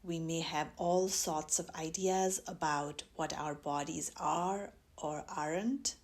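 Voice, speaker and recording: A woman teaching mindfulness, guiding a meditation in a gentle, measured way with long pauses between phrases. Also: deep voice, steady tone. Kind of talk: guided meditation instruction.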